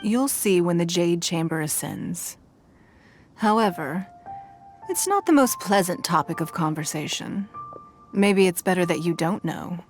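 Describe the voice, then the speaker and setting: A woman playing a poised, shrewd, wealthy noblewoman in a video game, speaking in a formal, measured, authoritative way. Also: fairly low pitch, soft background music. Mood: reassuring.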